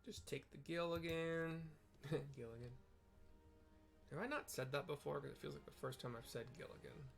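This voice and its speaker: A man narrating a fantasy game's story for listeners, with chatty, excitable asides between the lines. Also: fairly high pitch, normal speed, vocal fry, soft background music. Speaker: game streamer narrating story quests. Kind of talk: casual commentary and read-aloud narration.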